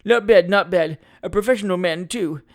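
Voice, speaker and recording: A man's voice: high voice, moderate pace. A man voicing a pompous, boastful small-time attorney who likes a drink, with hesitant mock modesty, in a comic Victorian audiobook. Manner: self-important, hesitating mock modesty, flowery politeness.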